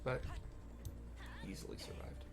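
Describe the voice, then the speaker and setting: A man's voice: unhurried, over loud music. Man casually talking through video game play. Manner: rambling, thinking aloud as he explains. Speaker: gameplay commentator. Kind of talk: casual unscripted game commentary.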